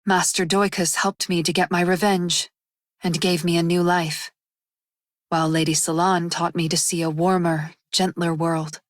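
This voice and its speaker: A woman's voice: fairly low pitch, flat delivery, normal speed, no room sound, clean studio sound, natural voice. A woman voicing a devoted, sober female attendant in a video game, formal and earnest. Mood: earnest gratitude. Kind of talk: English video game character voice lines.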